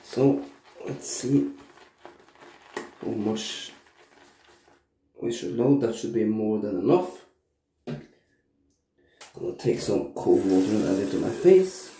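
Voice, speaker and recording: A man's voice: on the low side, slight background noise. A man in his thirties with a cold and a stuffy nose, reviewing shaving soap casually in his bathroom while shaving on camera. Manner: slow, relaxed, frequent uh and um pauses. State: congested from a cold, sore throat. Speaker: shaving vlogger. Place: small bathroom indoors. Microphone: camera at arm's length. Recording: echoey bathroom.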